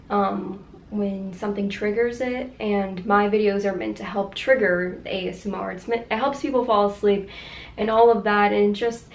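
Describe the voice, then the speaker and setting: A woman's voice: medium pitch, a little mellow. A woman in her twenties chatting in a casual skit, explaining things in a hesitant, awkward way. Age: 20s. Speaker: ASMR video creator acting out a skit. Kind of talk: casual conversational comedy skit. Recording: echoey room with background noise.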